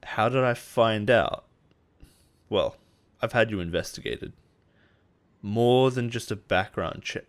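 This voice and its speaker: An actor playing a possessive male boss in an intimate roleplay audio drama, coaxing and quietly menacing. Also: on the low side, slow pace, pauses often. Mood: controlling, quietly menacing. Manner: soft-spoken coaxing, chuckles and sighs, quietly menacing.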